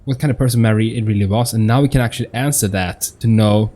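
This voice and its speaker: A man's voice: on the low side, fairly even intonation, fast talker, mellow. A man giving casual game commentary as a YouTuber, thinking aloud in a loose, repetitive way. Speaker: gaming YouTuber. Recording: faint background noise with game sound.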